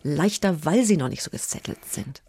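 A woman's voice: low-pitched, animated, moderate pace, warm tone, husky, no room sound. A woman hosting a conversational arts interview, asking questions as a radio interviewer.

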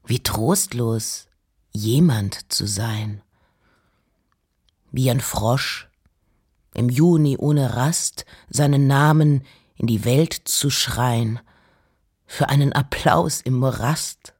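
Reclusive woman poet, low register, very unhurried, wry, pausing between short phrases, literary radio drama. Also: darkish tone, breathy edge, full character voice. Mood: intimate, wry. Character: Emily Dickinson, reclusive, wry woman poet writing letters. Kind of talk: literary radio reading of poems and letters.

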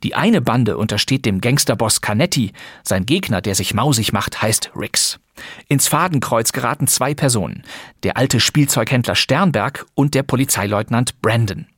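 A male host delivers scripted narration to introduce a crime radio play. His voice is husky and sits at a medium pitch. The sound is close and dry, with no room around it. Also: expressive, normal speed, a bit bright, no room sound.

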